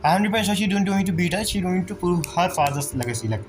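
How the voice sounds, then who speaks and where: Quick, high-voiced man gaming. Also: expressive. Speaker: gamer, streamer. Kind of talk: casual game playthrough commentary, reading dialogue along. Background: game music.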